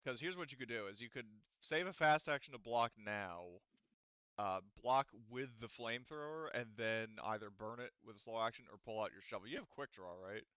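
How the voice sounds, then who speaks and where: A man's voice: medium pitch, normal speed, sharp tone, clear, resonant tone, dry recording, dull audio. A man running a tabletop roleplaying game as game master, rambling through rules with many fillers. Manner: rambling, filler-heavy, laughs often.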